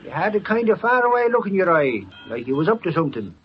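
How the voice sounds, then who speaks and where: Slow-witted sidekick, excitable, very high male voice, old radio drama, dull recording. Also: husky, soft background music, full character voice. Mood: nosy, puzzled. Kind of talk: 1940s radio drama acting.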